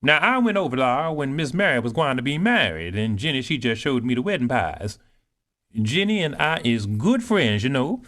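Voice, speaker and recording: A man playing a bossy older cook. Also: normal speed. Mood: boastful. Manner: animated, scolding, swoops high. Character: proud older woman cook, bustling and boastful. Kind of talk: audiobook character reading.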